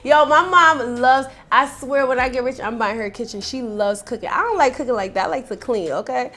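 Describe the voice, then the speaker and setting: A young woman from Jamaica, guest on an interview, talks in a fairly high voice. She tells her stories with animation and drops a "honey" now and then. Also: fairly quick, slight background noise. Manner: animated storyteller, calls people honey. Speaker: rapper guest. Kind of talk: casual interview chat.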